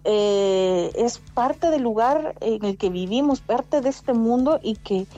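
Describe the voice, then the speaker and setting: A woman's voice: on the high side, lively intonation, takes it slowly, mellow, lo-fi sound. A woman telling her story as an interview guest, earnest and often restarting her sentences as she urges listeners on. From immigrant living in Spain. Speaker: guest, domestic workers' rights advocate. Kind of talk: conversational interview testimony.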